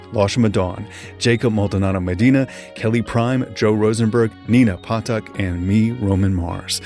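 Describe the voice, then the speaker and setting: A male podcast host with a lowish, gravelly voice. He talks in a relaxed, easygoing way and laughs often. Soft music plays faintly behind him. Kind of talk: casual book club chat and show credits.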